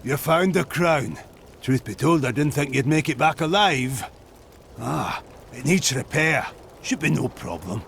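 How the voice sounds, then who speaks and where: An actor playing a weary, gruff male blacksmith in a game. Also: medium pitch, animated, measured pace, a bit bright, soft background music.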